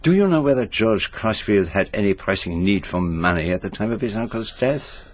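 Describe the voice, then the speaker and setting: An actor playing a shrewd, courteous foreign detective in a radio drama, with a French accent and a deliberate, probing manner. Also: male voice, lowish voice, lively intonation, no room sound. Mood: intrigued.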